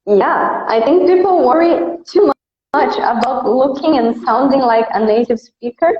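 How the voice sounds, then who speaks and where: A Brazilian woman with a high voice and a Brazilian Portuguese accent talks in a relaxed, easygoing way, leaning on "like" and "uh". The sound is roomy and a little dull. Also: measured pace. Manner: relaxed, easygoing, frequent fillers like "like" and "uh". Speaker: English teacher, guest. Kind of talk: casual interview chat.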